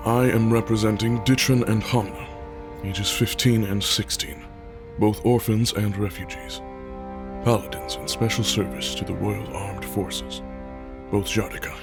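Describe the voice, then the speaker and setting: A man's voice: lowish voice, fairly even intonation, unhurried, music in the background. An actor playing a spokesman formally representing young soldiers in an audio drama. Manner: formal roll-call delivery.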